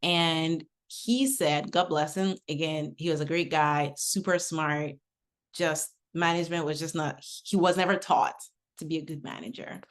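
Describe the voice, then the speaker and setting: Lower-pitched woman presenting a webinar. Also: fairly even intonation, unhurried. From Nigeria. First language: English. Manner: conversational, uses personal anecdotes. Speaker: webinar speaker.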